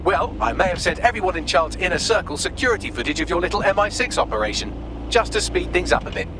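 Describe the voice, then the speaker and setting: Cheeky, sardonic game AI helper: fast, very lively, wry and wordy, over street noise. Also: male voice, sits high. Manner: glib, wry, wordy delivery. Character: witty, smug male AI assistant, cheeky and sardonic. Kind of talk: video game voice acting.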